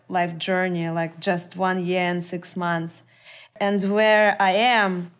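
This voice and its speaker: A podcast host, a woman with a Russian accent, talks in an effusive, halting way and reaches for words with fillers. Her pitch sits in an ordinary middle range. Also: clean tone. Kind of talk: casual interview chat.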